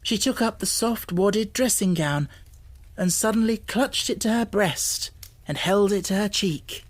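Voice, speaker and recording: A woman reading an audiobook as narrator, with deliberate phrasing and expressive, dramatic emphasis. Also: medium pitch, no echo. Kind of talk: dramatic scripted storybook narration. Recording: music bed under the voice. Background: music.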